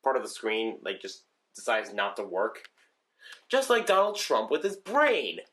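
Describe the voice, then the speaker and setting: A man's voice: lowish voice, wildly swooping pitch, unhurried, very noisy. A man casually showing his weather radio collection on video, rambling with lots of ums and restarts. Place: room at home with shelves of radios.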